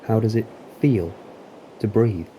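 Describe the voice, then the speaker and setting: A man narrating a guided meditation in a calm, deliberate, soothing manner with long pauses. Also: fairly low pitch, a little hiss or hum, poor audio. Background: waves.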